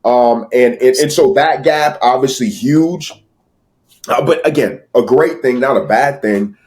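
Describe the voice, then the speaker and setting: An American man speaks as a guest in a casual, motivational interview. His medium-pitched voice is emphatic, and he often repeats phrases for weight. His talk is slangy and familiar. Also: measured pace, darkish tone. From United States. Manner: emphatic, repetitive, slangy, addresses listener as bro. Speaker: podcast guest, sales entrepreneur.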